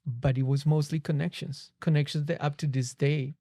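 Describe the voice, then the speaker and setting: A man's voice: fairly high pitch, steady tone, normal speed, mellow, dry recording, studio quality. A man with a Colombian accent, a native Spanish speaker, telling his story reflectively as a podcast guest. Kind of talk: personal storytelling in a conversation. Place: small podcast studio. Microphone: close boom-arm studio microphone.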